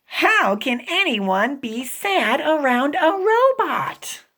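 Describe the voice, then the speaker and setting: A woman voicing an eager, caring young female pig from a children's picture book, with expressive, drawn-out delivery. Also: sits high, voice pushed up, full character voice. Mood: puzzled, apologetic.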